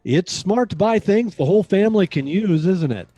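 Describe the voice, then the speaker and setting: Casting: male vintage radio announcer, fairly high mellow voice, sweeping sales intonation, light music behind. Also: animated, normal speed, breathy edge. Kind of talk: old-time radio announcing and ad read.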